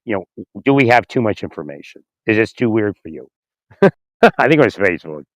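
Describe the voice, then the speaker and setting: A man with a slightly hoarse, raspy voice talking in a rambling, halting way, repeating words, chuckling often and asking "right?" as he speaks into his phone outdoors. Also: lowish voice, sing-song, dry recording. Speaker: vlogger. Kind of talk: rambling casual monologue. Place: outdoors in winter.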